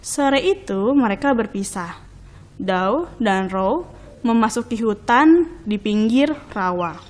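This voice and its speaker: A woman reading a children's storybook as narrator, in expressive, deliberate, measured phrases. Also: on the high side.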